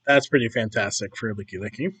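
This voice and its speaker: A man losing his voice, chatting casually and rambling while playing a video game, often clearing his throat. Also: fairly low pitch, quick pace, a bit bright, slight background noise. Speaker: gaming YouTuber. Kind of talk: casual commentary over gameplay.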